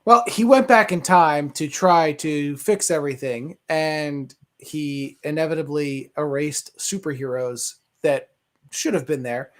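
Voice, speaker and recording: A man's voice: a little high, a little slow, fairly bright tone. A man giving his opinions as a panelist in a casual movie discussion.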